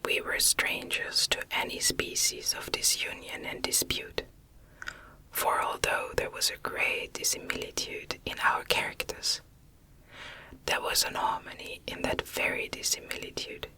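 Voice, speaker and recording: A woman reads a classic novel as ASMR in a breathy, unvoiced whisper, gentle and measured in her phrasing. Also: poor audio. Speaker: audiobook narrator.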